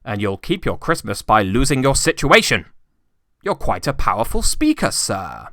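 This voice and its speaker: A man's voice: mid-range voice, sing-song, normal speed, fairly bright tone, no echo, clean studio sound. A man voicing a stern, scornful, miserly old uncle in a storybook reading. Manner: indignant, scornful, emphatic.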